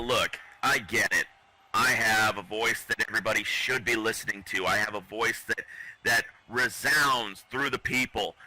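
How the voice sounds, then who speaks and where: Man, wrestling commentator, arrogant heel, very bright tense voice. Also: mid-range voice, poor audio. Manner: arrogant, boastful heel persona.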